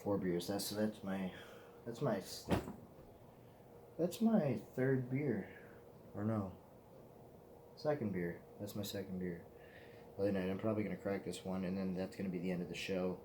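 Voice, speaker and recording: A man's voice: sits low, normal speed, long pauses, noisy background. A man in his twenties, hosting his own vlog in a loose, profane, meandering casual chat. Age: 20s. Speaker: vlog host.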